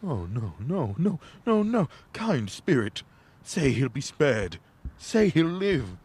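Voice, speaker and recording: A man playing a frightened, pleading old miser in a radio play, halting and dramatic. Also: a little high, big pitch swings, darkish tone, breathy edge, heavily acted.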